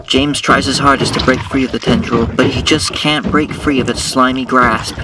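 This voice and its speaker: A man's voice: medium pitch, fairly even intonation, normal speed. A man narrating a scripted audio drama. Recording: noisy, distant-miked, with clicks.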